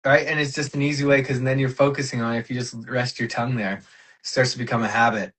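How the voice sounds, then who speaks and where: A man's voice: mid-range voice, level tone, fast, a bit bright, poor audio. A man co-hosting a podcast, talking loosely with many fillers and the odd swear word. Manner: rambling, filler-heavy, casual swearing. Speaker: podcast co-host. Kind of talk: casual podcast chat.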